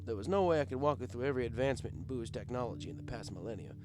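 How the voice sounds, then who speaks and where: A man narrating a comic first-person story in a wry, expressive, conversational storyteller's way. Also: medium pitch, racing, background noise. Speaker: audiobook narrator.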